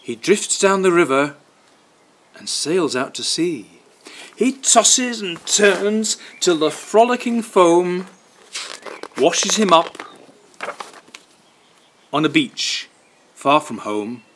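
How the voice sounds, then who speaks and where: A man, reading a picture book expressively to young children with deliberate pauses. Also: high voice, very animated, a bit bright, slight background noise. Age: 60s. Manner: deliberate, pausing between phrases. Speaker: children's storytime reader. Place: outdoors in a garden by wooden fencing.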